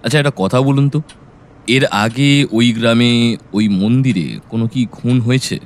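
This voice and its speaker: A man playing a calm, analytical private detective in an audio story, reasoning and questioning in a measured way. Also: mid-range voice, close to an everyday voice. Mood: assured.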